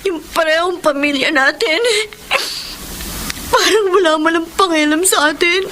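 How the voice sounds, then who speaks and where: A woman's voice: extremely high pitch, bright, forceful tone, slight background noise, heavily acted. An actress playing a young Filipina mother and wife in a radio drama, hurt and polite to her elders. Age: young adult.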